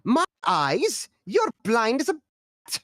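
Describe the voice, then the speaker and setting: A woman's voice: on the high side, wildly swooping pitch, slow, dry recording, studio quality, heavily acted. A woman playing a dotty, bossy elderly former actress in a screenplay table read, theatrical and scolding.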